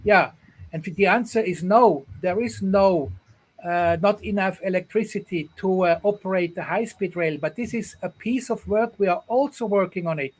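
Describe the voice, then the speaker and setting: A man from Ghana with a high-pitched voice talks earnestly on a video call. He often circles back and repeats his phrases before going on. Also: moderate pace, poor audio. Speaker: guest, rail project executive. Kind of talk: online panel discussion.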